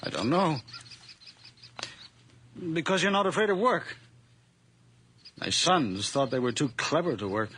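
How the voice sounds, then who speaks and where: An actor playing a kindly, proud old farmer in a radio drama, folksy and a little hesitant. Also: male voice, fairly high pitch, sing-song, a little slow, long pauses, fairly bright tone, exaggerated voice. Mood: warm, modest. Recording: clearly noisy background.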